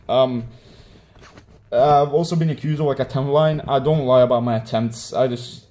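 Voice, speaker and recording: A man's voice: mid-range voice, mellow. A young man whose first language is Hebrew, giving a rambling, defensive apology in casual speech with many fillers. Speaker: online gamer.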